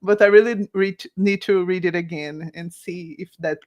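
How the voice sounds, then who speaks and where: A woman who grew up speaking Portuguese talks in a loose, animated way. She stops and restarts her sentences often. She leans on lots of "like" and "you know". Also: on the low side, normal speed, muffled recording. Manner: animated, many fillers and restarts. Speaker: guest author. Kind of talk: casual livestream chat.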